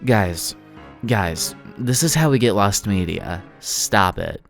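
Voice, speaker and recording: A man's voice: on the low side, lively intonation, slow, creaky. A man giving a casual video essay commentary, sardonic and self-deprecating, with informal wording. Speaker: online video essayist, host of the channel. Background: background music.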